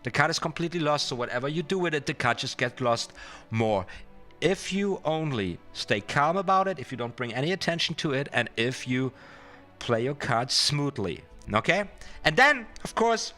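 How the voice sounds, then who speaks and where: A man's voice: mid-range voice, animated, brisk, bright, forceful tone. A man hosting a casual card magic livestream, talking directly to his viewers in a rambling way and repeating words for emphasis. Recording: music behind the voice. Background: music.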